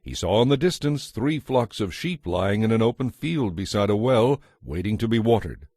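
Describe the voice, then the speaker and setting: Male scripture narrator, lower register, dry studio closeness, measured and dramatic scripted delivery. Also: animated, darkish tone, no echo.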